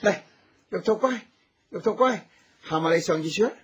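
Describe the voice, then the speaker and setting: A tender, solicitous hunter and new husband in a radio drama. His Hong Kong Cantonese voice is extremely husky and a little high for a man, earnest and caring. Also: expressive, normal speed. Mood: caring, coaxing.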